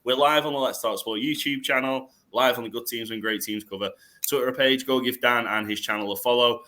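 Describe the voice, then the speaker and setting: A slightly hoarse man hosting a casual podcast draft, rambling through restarts and fillers. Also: medium pitch, steady tone, brisk, bright, forceful tone. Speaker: podcast host.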